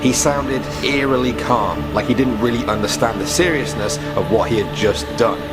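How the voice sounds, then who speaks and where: A man's voice: medium pitch, normal speed, over loud music. A man reading scripted narration for a true-crime countdown as its host.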